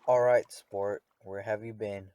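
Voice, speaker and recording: A man's voice: lowish voice, fairly even intonation, measured pace, mellow, lightly acted. A man playing a stern, distrustful father in a radio drama, with a commanding, accusing manner. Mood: stern, angry. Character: stern, distrustful, bickering father of two children.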